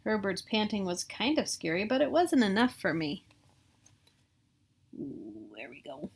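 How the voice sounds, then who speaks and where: A woman's voice: mid-range voice, very animated, unhurried, breathy edge. A middle-aged woman with an American accent reads a picture book aloud, adding casual asides. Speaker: storybook reader. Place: small room at home.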